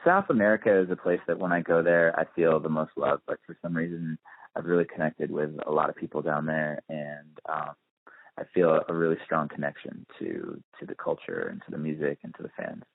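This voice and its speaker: A man's voice: a bass voice, brisk, vocal fry, no room sound. A man giving a casual phone interview as a musician, speaking thoughtfully and hesitantly, often circling back to rephrase. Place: on a phone call. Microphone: telephone. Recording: muffled, dry phone-line audio.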